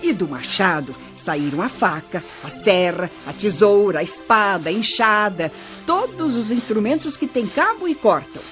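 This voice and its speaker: A wise old grandmother with a very animated, swooping delivery. She patiently teaches her grandchildren in a children's radio drama, with music behind her. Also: medium pitch, moderate pace. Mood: patient, instructive. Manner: didactic, expressive storytelling. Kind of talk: children's radio play acting.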